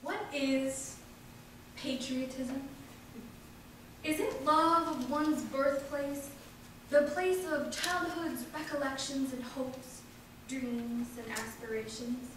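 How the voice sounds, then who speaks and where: An earnest anti-war woman orator, read by a woman, speaks slowly and rhetorically. The voice is high and measured, far from the mic in a strongly echoing, noisy hall. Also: crowd noise. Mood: earnest, wistful. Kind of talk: political speech read aloud. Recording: echoey hall, distant mic, clear noise.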